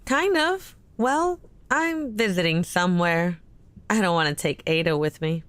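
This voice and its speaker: A woman's voice: lowish voice, expressive, measured pace, a bit bright. An actress playing a grateful, slightly evasive woman in an everyday audio drama conversation.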